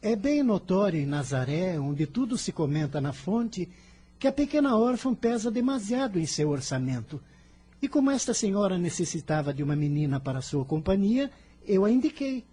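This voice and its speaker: A man acts out a righteous young disciple from a radio play. The voice is high and slightly husky, swinging widely in pitch as he speaks with formal, bold conviction. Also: sing-song, normal speed, warm tone, exaggerated voice. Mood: earnest, persuasive. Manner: formal, solemn, persuasive. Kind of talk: radio drama acting.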